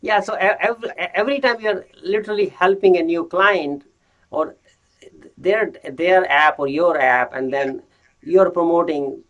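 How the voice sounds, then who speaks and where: A man hosts a podcast interview, feeling his way through each question. His voice is somewhat high, and he restarts and repeats words often before he gets the question out. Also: a bit bright, rough recording. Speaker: podcast host.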